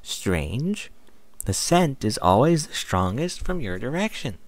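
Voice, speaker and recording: A man voicing a merry, excitable young female pony in a read-aloud story, with playful, exclaiming delivery. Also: medium pitch, slow, no echo, pitched above the performer's own voice. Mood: merry, excited.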